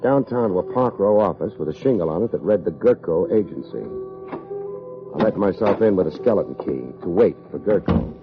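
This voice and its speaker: An American actor playing a tough, wry private investigator in 1950s radio detective style, with a husky voice and clipped, sardonic delivery. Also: male voice, sits low, expressive, brisk, music in the background. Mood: wry narration. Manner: clipped, hard-boiled, sardonic. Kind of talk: 1950s radio detective acting and first-person narration. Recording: muffled vintage radio recording.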